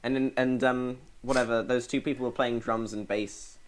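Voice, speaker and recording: A teasing British schoolboy nattering through a story with friends on an amateur podcast, mid-range voice. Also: male voice, mid-range voice, fairly even intonation, moderate pace. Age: teens. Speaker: podcast co-host. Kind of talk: casual chat.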